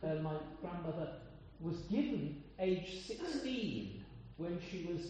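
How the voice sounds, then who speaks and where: An older man from with a loud voice, preaching an informal sermon in a chatty, meandering way with frequent pauses. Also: high voice, expressive, slight background noise. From Coventry, England. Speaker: lay preacher. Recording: echoey hall sound, dull lo-fi.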